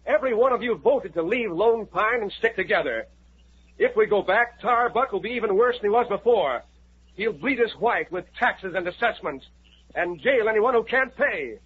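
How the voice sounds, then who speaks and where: An actor playing a resolute frontier camp leader in a 1950s radio drama, talking in an earnest, folksy way. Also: male voice, high voice, expressive, moderate pace, breathy edge. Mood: pleading. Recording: tinny old radio broadcast.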